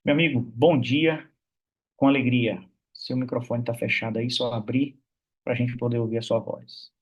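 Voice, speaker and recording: Church meeting host, husky-voiced man, chatty, over a video call. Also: medium pitch, fairly even intonation, moderate pace, muffled recording. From Minas Gerais, Brazil. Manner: warm, chatty, many fillers like "né". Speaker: host of an online prayer meeting. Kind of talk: casual church meeting hosting.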